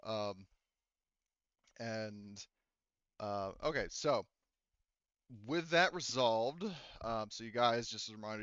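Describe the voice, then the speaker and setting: A man running a tabletop roleplaying game as game master, narrating casually in a halting, self-correcting way. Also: on the low side, fairly bright tone, clean tone, dry recording, dull audio.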